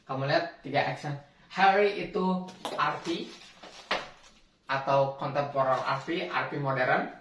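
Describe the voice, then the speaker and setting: A man's voice: a little high, unhurried, long pauses, a bit bright, distant mic, slight background noise. Chatty young man in echoey room. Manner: chatty, slangy, self-correcting. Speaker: language tutor. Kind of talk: casual explainer video. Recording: echoey room, away from mic.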